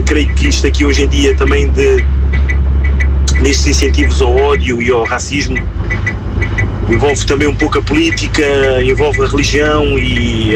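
A man from Portugal with a Portuguese accent leaving a voice message for a radio show, hesitant and full of fillers and restarts. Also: mid-range voice. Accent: European Portuguese. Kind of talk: recorded voice message. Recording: voice message with loud traffic noise.

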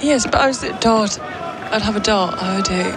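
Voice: mid-range voice, normal speed, music in the background. A live tennis commentator co-hosting a show, mixing point-by-point calls with playful, teasing banter. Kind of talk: live radio sports commentary mixed with casual banter. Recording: crowd and vehicle noise behind. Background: tennis crowd ambience.

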